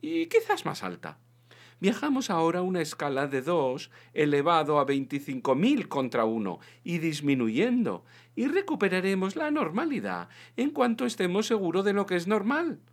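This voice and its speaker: Man voicing a courteous, soothing spaceship announcer, raised pitch. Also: wildly swooping pitch, normal speed, exaggerated voice. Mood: calmly official. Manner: soothing, polite, official, like a flight attendant. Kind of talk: dramatised comic science-fiction audiobook.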